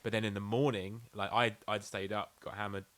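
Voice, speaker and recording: A man's voice: on the low side, little pitch movement, fairly quick, a bit bright. A British man co-hosting a podcast, chatting casually and telling anecdotes in a sweary, blokey way. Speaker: podcast co-host. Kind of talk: casual comic banter.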